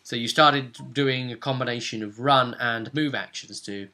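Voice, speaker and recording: Bright-voiced man recapping a tabletop game. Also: medium pitch, moderate pace. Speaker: game master. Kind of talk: casual recap of a tabletop role-playing session.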